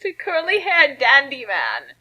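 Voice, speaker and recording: A woman's voice: high-pitched, wildly swooping pitch, unhurried, bright, forceful tone, poor audio. A woman chatting casually and offhandedly as co-reader and commentator on a story. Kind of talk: casual chat and amused commentary.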